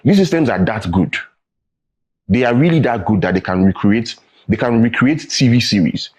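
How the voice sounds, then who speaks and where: A man's voice: medium pitch, lively intonation, normal speed, a little mellow, husky. A young adult man with a Nigerian accent, a guest in a studio interview, explaining earnestly and often asking "right?" or "you know". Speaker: tech training founder, guest.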